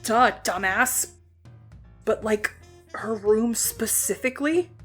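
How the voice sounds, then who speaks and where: A woman playing a hot-headed, gruff teenage girl who speaks bluntly and swears freely, with a soft spot underneath, in an audio drama. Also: fairly high pitch, takes it slowly, faint music behind. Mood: brash, startled.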